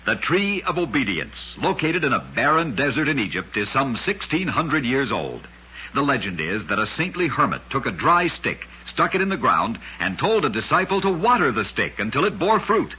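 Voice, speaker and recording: On a muffled old radio recording, a man narrates short scripted tales with a very forceful, bright edge and a distinctly rough, husky texture. He delivers them as an emphatic, dramatic storyteller. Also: medium pitch, very animated, moderate pace. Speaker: narrator and host of a radio oddities program.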